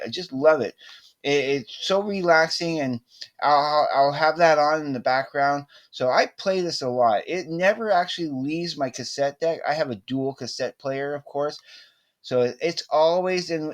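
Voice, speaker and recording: A middle-aged man chatting casually to camera as a vlogger, rambling and halting but enthusiastic. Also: mid-range voice. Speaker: vlogger showing a cassette collection. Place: small indoor room with poster-covered walls.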